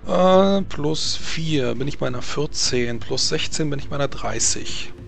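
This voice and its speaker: A man working as game master, narrating a tabletop role-playing game in a conversational, halting improvised way. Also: on the high side, expressive, creaky.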